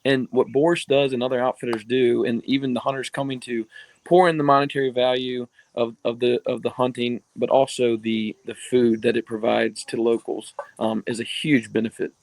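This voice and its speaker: An American man speaks at a middle pitch, recorded close to the mic in a dry, dead space. He tells his stories in an easygoing way, full of "I mean" and "you know". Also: little pitch movement, moderate pace, creaky, darkish tone, no echo, rough recording. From United States. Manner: storytelling, many fillers like "I mean" and "you know". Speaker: podcast guest. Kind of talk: casual podcast conversation.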